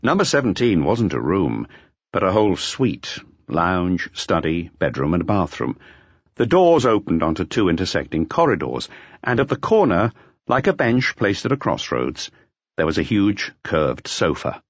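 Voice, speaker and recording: A man's voice: low voice, very animated, moderate pace, husky, no room sound, dull audio. A man reading a detective novel aloud as a scripted audiobook narration.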